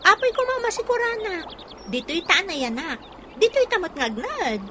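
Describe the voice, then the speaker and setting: A woman's voice: very high voice, big pitch swings, moderate pace. A woman playing a cautious, skeptical young village woman in a radio drama, with an Ilocano accent and a doubtful, exclamatory manner. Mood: matter-of-fact. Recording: clear background noise and outdoor ambience.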